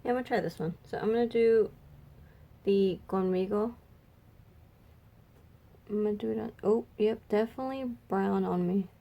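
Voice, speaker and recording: A woman makeup vlogger chatting casually and thinking aloud, her voice a little raspy and stuffy from a cold. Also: mid-range voice, moderate pace, long pauses, warm tone, lo-fi sound. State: slightly raspy, congested from a cold. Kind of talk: casual makeup swatch review chat.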